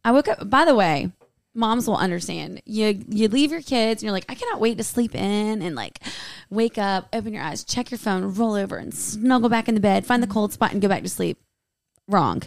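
A woman podcast co-host chatting casually and telling stories in an animated way, with quoted asides. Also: mid-range voice, normal speed, gravelly, dry recording, clean studio sound. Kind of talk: casual chat recap.

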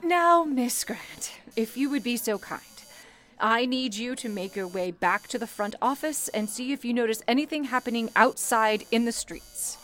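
A woman's voice: fairly high pitch, animated, a bit bright. Firm, motherly switchboard supervisor. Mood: brisk, commanding. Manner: formal, measured, authoritative, coaxing. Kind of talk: old-time radio play acting.

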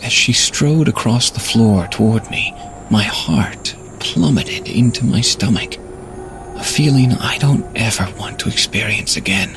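Troubled husband, low-voiced storyteller. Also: male voice, animated, unhurried, over music, rough recording. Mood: brooding. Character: troubled husband and investigator telling his downfall. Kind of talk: dramatic first-person horror story narration.

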